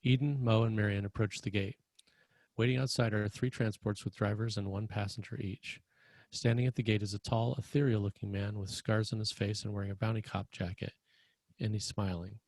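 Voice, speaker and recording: A man narrates a screenplay, reading out the stage directions. His voice is fairly low and the sound is close and dry. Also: fairly even intonation, a little fast, a little mellow, no echo. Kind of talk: scripted narration.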